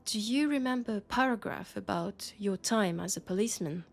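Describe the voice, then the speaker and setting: A woman's voice: mid-range voice, moderate pace, gravelly, lightly acted. French-accented policewoman, grave and caring, acted by a woman. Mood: grave, confiding. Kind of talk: intimate sci-fi audio roleplay monologue.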